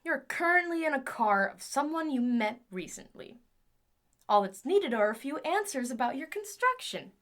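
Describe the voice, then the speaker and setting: A woman playing an excitable, scheming inventor in an audio drama, theatrically talking to herself as her moods swing. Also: high voice, moderate pace. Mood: prim, coaxing.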